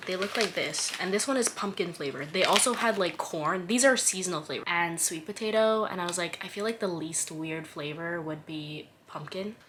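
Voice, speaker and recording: A woman's voice: on the low side, background noise. A woman in her twenties from Toronto, chatting through a casual vlog Q and A, sarcastic and swearing lightly. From Toronto, Canada. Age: 20s. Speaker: vlogger.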